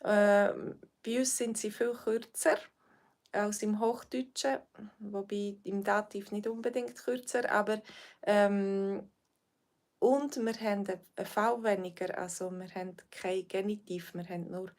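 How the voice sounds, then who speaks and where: A woman's voice: mid-range voice, steady tone, unhurried, darkish tone. Swiss woman teaching on a livestream. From Switzerland. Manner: friendly, hesitant with frequent fillers, addresses viewers by name. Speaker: language teacher. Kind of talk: livestream Q&A with viewers.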